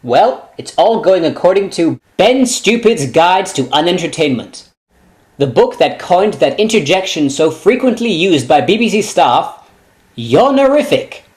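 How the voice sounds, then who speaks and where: A man performing a scripted comedy parody in a sarcastic, deadpan manner. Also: high voice, unhurried. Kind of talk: scripted comedy sketch voices and commentary.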